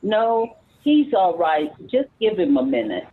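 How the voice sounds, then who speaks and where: A woman plays a gentle, reluctant street cleanup worker in a radio play. Her voice is husky and unhurried, heard through a thin, phone-like connection. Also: fairly low pitch, sing-song, heavily acted. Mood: apologetic. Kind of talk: amateur radio play acting. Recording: tinny, phone-like line.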